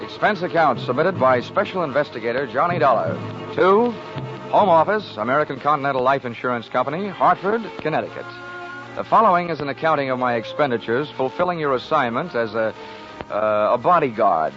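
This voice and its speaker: Actor as low-voiced hard-boiled 1940s radio detective. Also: male voice, lively intonation, close to an everyday voice. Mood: dry, wry. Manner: brisk, dry, commanding. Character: wisecracking, hard-boiled male insurance investigator. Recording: dull 1940s radio recording with music.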